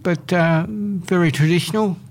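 A woman's voice: fairly low pitch, moderate pace, darkish tone, a little hiss or hum. A woman chatting on a radio show, speaking hesitantly with many fillers and restarts. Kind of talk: casual radio chat.

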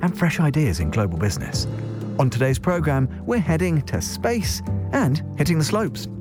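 A man hosting a radio show, reading a scripted introduction in a smooth, measured way. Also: a little high, big pitch swings, over music.

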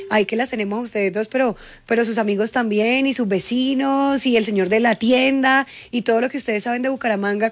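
A Colombian woman from Cali hosting a radio show, talking in a chatty, teasing way. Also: fairly high pitch. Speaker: radio host. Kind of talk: casual radio chat.

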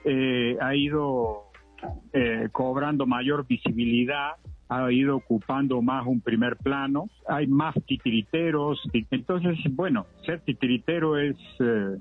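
An older man from Argentina, a puppeteer guest, answering radio interview questions in a reflective, measured way with many hesitations. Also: medium pitch, husky. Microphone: phone. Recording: tinny phone line, faint music.

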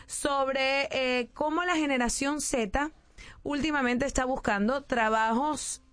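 A young woman in her late twenties hosting a talk show, chatty and animated, inviting listeners to call in. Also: high-pitched, slow, no echo. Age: late 20s. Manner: chatty, animated, addresses callers. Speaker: radio host. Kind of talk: casual talk show chat.

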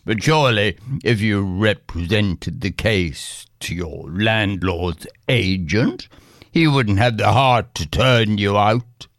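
A man voicing a kindly, lordly, mysterious gentleman in a storybook tale, with courtly old-fashioned phrasing. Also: lowish voice, slow, no echo, clean studio sound, pitched below the performer's own voice. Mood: sympathetic.

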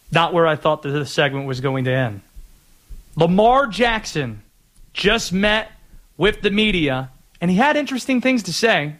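An American man hosts a sports talk show. His voice is bright and sits slightly high. He talks conversationally, musing aloud as he goes. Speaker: sports radio host. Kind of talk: sports talk radio monologue and caller chat.

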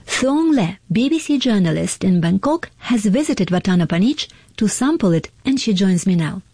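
A woman's voice: medium pitch, sing-song, moderate pace, dark tone, slightly rough, dry recording, studio quality. A woman hosting a radio magazine programme, presenting scripted introductions and interview questions.

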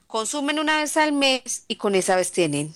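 A woman's voice: high voice, very animated, normal speed, a bit bright. A woman co-hosting a radio show as the resident "doctora", giving firm, matter-of-fact advice. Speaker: radio co-host, counselor. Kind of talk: casual radio call-in chat.

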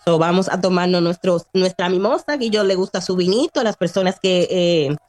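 A woman in her late thirties on a radio show, explaining taxes and chatting. She hesitates often, fills pauses and drops English words into her speech. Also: on the low side, darkish tone. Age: 30s. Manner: hesitant, many fillers, mixes in English words. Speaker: tax service guest on radio. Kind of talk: informational radio chat. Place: radio studio.

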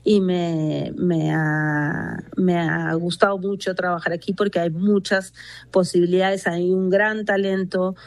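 A middle-aged woman with an Argentine accent giving a conversational interview as a research guest, explaining haltingly and often checking with little tag questions. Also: on the low side, steady tone, no echo. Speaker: interview guest, university research executive and physicist.

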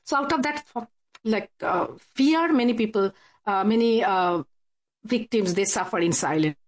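A woman speaking as an interview guest, explaining her support work in a halting, hesitant way as she searches for words. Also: mid-range voice. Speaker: guest, support worker for abuse victims. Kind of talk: radio interview, explaining from casework.

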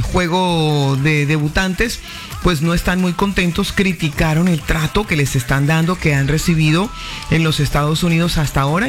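A Colombian woman co-hosting morning radio, chatting casually and passing on news. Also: low voice.

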